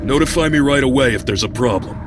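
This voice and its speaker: An actor playing a composed but secretly scheming strike squad leader in a game, speaking with measured authority. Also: male voice, medium pitch, close to an everyday voice. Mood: composed, reassuring. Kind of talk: video game cutscene acting. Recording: loud music under dialogue.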